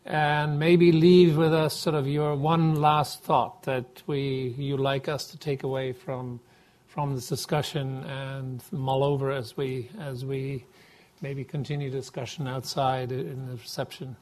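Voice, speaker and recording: A man's voice: mid-range voice, little pitch movement, measured pace, a little mellow. A man runs the panel, politely thanking people and calling on the next speaker. He guides the discussion toward its close in an everyday mid-range voice. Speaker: moderator. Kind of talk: moderating a panel.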